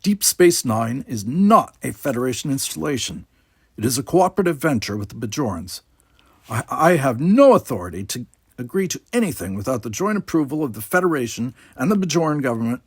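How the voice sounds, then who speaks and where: Station commander for sci-fi storybook, male reader acting: husky, emphatic, coldly controlled, commanding. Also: mid-range voice, sing-song, dry recording, studio quality, pitched above the performer's own voice. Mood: stern anger. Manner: measured, forceful, emphatic.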